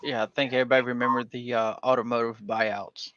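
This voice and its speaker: A man from Georgia hosts a livestream panel in a rambling, folksy way, leaning on "uh" and "um" between thoughts. His voice sits at an ordinary middle pitch and comes through dull and lo-fi. The audio is bone dry, with no room sound around it. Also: flat delivery, fast talker, a bit bright, no echo. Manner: rambling, folksy, with frequent fillers. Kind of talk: casual livestream chat.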